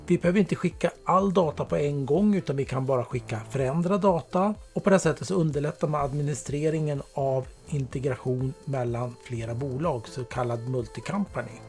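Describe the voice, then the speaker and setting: A man presents a software product in a fairly high voice. His delivery is scripted and explanatory, kept plain and instructional. Faint music plays behind him. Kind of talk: scripted product tutorial narration. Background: background music.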